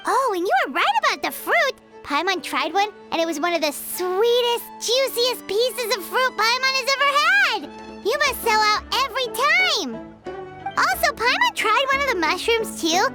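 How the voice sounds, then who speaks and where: Tiny squeaky fairy sidekick for a game, super high kiddie voice, excitable, talks in third person, music behind. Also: female voice. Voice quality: squeaky, childlike. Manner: speaks of herself in third person, exclaiming. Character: tiny excitable childlike fairy companion, female, food-loving. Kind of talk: animated video game voice acting. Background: background music.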